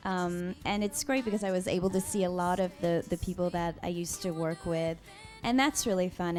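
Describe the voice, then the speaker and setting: Soft-spoken woman guest, thoughtful and unhurried. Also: fairly low pitch. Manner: soft-spoken, thoughtful, with frequent pauses and ums. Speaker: interview guest. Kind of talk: casual interview answers.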